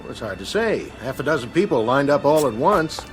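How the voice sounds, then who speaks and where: A man's voice: mid-range voice, very animated, fairly quick, faint music behind, lightly acted. An actor playing a folksy, patient male bus driver in a radio drama, matter-of-fact with passengers. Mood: puzzled, businesslike.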